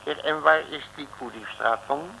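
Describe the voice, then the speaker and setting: An actor playing a cheeky, sardonic working-class detective in an old radio comedy serial. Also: male voice, on the high side, normal speed, vocal fry, sharp tone. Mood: cheeky, coaxing. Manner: grumbling, sardonic, colloquial. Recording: noisy old radio recording.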